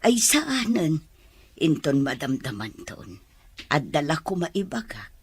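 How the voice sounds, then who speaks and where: A woman playing an elderly, coaxing, stubborn grandmother in a radio drama, with drawn-out, emphatic delivery. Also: low voice, big pitch swings, slightly rough, no echo, exaggerated voice. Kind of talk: Ilocano radio drama acting.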